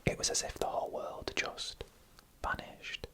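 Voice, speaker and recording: A person narrating eerie stories as ASMR in a hushed, deliberate whisper, close to the microphone. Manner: slow, hushed, deliberate storytelling in an unvoiced whisper. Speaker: ASMR storyteller.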